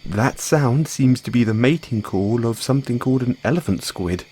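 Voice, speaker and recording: A man playing an excitable, anxious and tender mad scientist boyfriend in an intimate audio roleplay, speaking with halting stammers. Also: mid-range voice. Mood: uneasy, curious. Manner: halting, stammering, breathy hesitations.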